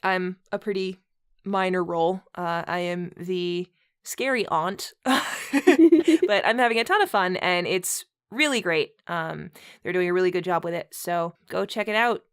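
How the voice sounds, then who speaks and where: Slow-paced giggly woman host reading aloud. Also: fairly low pitch, smooth. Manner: giggly, breaks into laughter while talking. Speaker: host and reader. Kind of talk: comedic read-aloud of bad fiction with casual chat.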